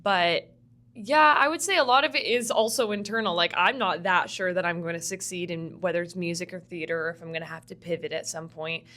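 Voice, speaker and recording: A woman's voice: lowish voice, brisk, bright, forceful tone. A young woman from Vancouver, a musical theatre student, chatting casually as a podcast guest, laughing often and filling her talk with "like". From Vancouver, Canada. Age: young adult. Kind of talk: casual interview chat.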